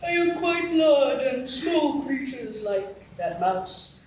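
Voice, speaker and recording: A woman voicing a huge, gentle and very courteous narwhal in a live radio play, with a sing-song lilt. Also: sits high, expressive, takes it slowly, slight background noise. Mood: polite. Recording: roomy echo from far off.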